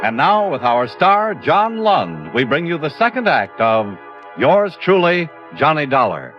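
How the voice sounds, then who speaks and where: Male announcer, hugely animated pitch, vintage radio style, brass music behind, dull old recording. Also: a little slow. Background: loud brass music.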